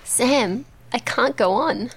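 A woman's voice: a little high, takes it slowly, a little hiss or hum. A woman playing a burdened young heroine on a quest in a comic pantomime, speaking melodramatically. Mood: weary. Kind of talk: amateur comic pantomime acting.

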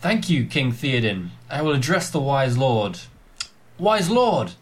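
A man's voice: medium pitch, big pitch swings, unhurried. A man playing an earnest, noble warrior leader in a comic pantomime, speaking formally and heroically. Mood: earnest, resolute. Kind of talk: amateur comic pantomime acting.

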